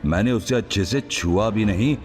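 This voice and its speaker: A man's voice: fairly low pitch, normal speed, darkish tone, soft background music, close to an everyday voice. An actor playing an irritable, bullying gang boss in an audio drama, a man who turns cowardly when cornered. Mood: irritated, panicked. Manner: menacing, irritable, stammers when scared. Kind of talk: Hindi audio drama acting.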